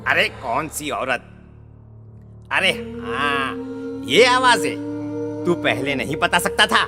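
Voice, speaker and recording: A man playing a jumpy, timid village farmer in a horror audio story, excitable and exclaiming. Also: on the high side, takes it slowly. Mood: startled, scared. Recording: long reverb, faint music.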